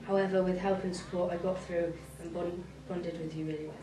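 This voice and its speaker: A woman's voice: on the low side, flat delivery, a little fast. A young single mother reading a sincere, tender letter aloud. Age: young adult. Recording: roomy, distant.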